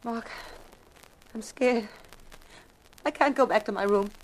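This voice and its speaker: A woman's voice: a little high, slow, rough recording. An actress playing a frightened, fragile, haunted woman in a 1950s radio mystery, with a pleading, dramatic delivery. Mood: frightened, shaken.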